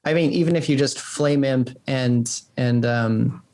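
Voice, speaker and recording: A man's voice: medium pitch, unhurried, darkish tone. A man answering interview questions as a game developer, casual and rambling, often saying "like". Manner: rambling, peppered with "like".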